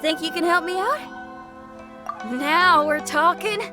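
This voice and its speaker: A woman's voice: very high-pitched, slow pace, music in the background. A woman voicing a plucky, resilient young female rock musician with folksy talk in a video game. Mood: friendly, hopeful. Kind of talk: video game character acting.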